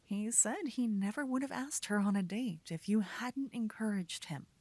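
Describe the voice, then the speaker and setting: A woman playing a devoted, teasing adventurer lover in a romantic fantasy ASMR roleplay, breathy and often whispering, speaking intimately into a close microphone. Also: mid-range voice, darkish tone, dry recording, natural voice. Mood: musing, gently reasoning. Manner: slow, intimate, soothing delivery. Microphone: close, dry microphone.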